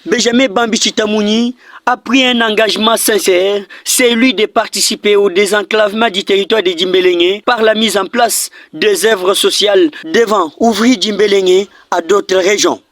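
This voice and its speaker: A man's voice: high voice, steady tone, normal speed, fairly bright tone. A man reading a formal scripted news report as narration.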